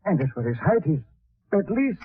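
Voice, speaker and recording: An actor playing a methodical alien scientist in a 1940s radio drama, speaking in a deliberate, pondering way. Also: male voice, high-pitched, big pitch swings, husky, no echo, full character voice. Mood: absorbed, amazed. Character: small alien professor, methodical, astonished scientist examining specimen. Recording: tinny band-limited vintage radio recording.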